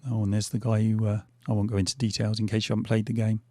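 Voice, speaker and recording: A man's voice: on the low side, completely flat delivery, fast, warm tone, no echo, clean studio sound. A middle-aged man losing his voice, rambling through casual gameplay commentary with lots of fillers and restarts. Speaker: gaming channel host. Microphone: headphones on, desk mic.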